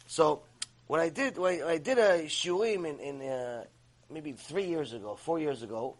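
A middle-aged man teaches Torah to a class. He talks with lively energy, repeating words and filling pauses as he works through his points. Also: fairly high pitch. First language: Hebrew. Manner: animated, repeats words, fillers. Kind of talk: Torah lecture.

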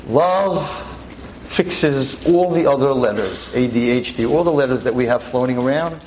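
A veteran teacher in his sixties lecturing an audience on a microphone, with a loud voice, emphatic and full of rhetorical questions. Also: mid-range voice, normal speed, slight background noise, rough recording. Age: 60s. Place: talk in front of an audience. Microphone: handheld or stand mic.

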